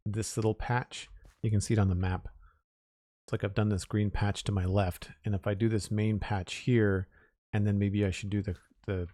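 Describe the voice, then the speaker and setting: A man streaming a building game, talking casually in a raspy, slightly hoarse voice as he thinks aloud in an unhurried, rambling way. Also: lowish voice, a little mellow, no echo. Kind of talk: casual live-stream chat while building.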